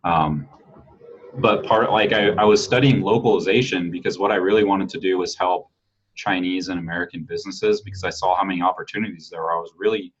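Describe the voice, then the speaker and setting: A man's voice: deep voice, fairly even intonation, a little fast, husky. A man talking casually on a podcast, rambling with lots of fillers and the odd swear word. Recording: dull lo-fi sound.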